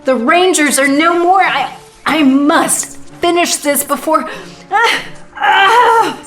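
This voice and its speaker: An actress playing a powerful, gloating villainess straining in pain in an audio drama. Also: female voice, very high voice, lively intonation, slow pace, fairly bright tone, faint music behind, exaggerated voice. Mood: desperate.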